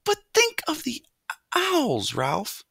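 A woman's voice: very high-pitched, big pitch swings, a little slow, gravelly, bright, forceful tone, no echo, studio quality, voice pushed up, exaggerated voice. A woman voicing an anxious, scolding mother mouse in a children's storybook, fussing and pleading.

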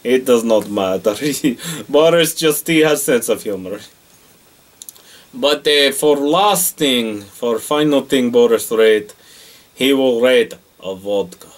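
A man's voice: mid-range voice, expressive, slow, far from the mic. A man playing a boastful, jokey Russian character in a comedy monologue, speaking broken English with a Russian accent and calling himself by name. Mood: deadpan boasting. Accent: Russian (put on). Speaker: comedic character rating things.

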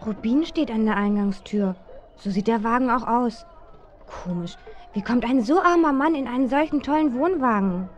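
Woman playing eager, high-voiced teenage girl detective. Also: moderate pace, highs cut off, close to an everyday voice. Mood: curious. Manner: eager, pleading, excitable. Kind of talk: German children's radio play acting.

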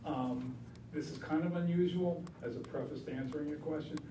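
A man presenting to a city council from a podium microphone, measured and full of ums, with small self-deprecating asides. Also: a little high, noisy background. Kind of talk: formal public meeting remarks. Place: council chamber, standing at podium.

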